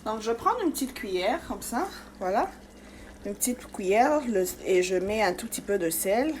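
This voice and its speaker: A woman's voice: mid-range voice, lively intonation, moderate pace, darkish tone, slight background noise. A woman from Congo-Kinshasa hosting her cooking channel, chatting through a recipe step by step with many fillers. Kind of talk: casual cooking tutorial.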